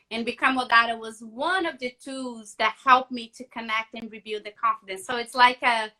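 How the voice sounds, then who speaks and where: A woman hosts a relaxed interview in a bright, high voice. She is enthusiastic and encouraging, often pausing to restart her sentences. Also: low-quality recording. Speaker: host, journalist. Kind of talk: conversational interview.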